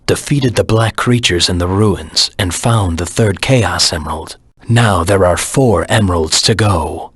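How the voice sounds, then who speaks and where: Low, raspy, brooding antihero. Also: male voice, a bit bright, no room sound, exaggerated voice. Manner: grave, terse, menacing. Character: brooding, defiant adult male antihero creature, cold and determined. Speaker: video game voice actor. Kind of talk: dramatic game voice acting.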